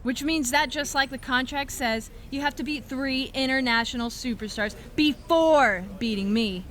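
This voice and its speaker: A woman's voice: high-pitched, normal speed. An actress playing a boastful, bratty woman wrestling champion in a video game cutscene, smug and mocking. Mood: taunting. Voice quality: bright, taunting.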